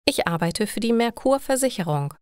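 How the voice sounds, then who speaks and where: A woman's voice: fairly high pitch, animated, moderate pace, a little mellow, smooth, no room sound, clean studio sound, close to an everyday voice. A woman voicing a chatty male landlord in an audio story, ingratiating and salesy. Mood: eager selling.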